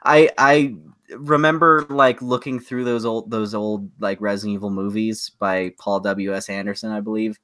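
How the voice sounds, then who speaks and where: A man co-hosting a podcast, chatting casually and giving opinions with a mock-exasperated, animated way of talking. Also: lowish voice, moderate pace, no room sound. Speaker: podcast co-host. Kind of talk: casual opinionated chat about movies and games.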